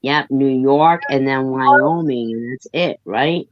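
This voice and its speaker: Slow-talking guy, keeps insisting, spells out letters, dull muffled audio, dry sound. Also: medium pitch, no echo. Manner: insistent, argues each point and spells out letters. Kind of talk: casual chat.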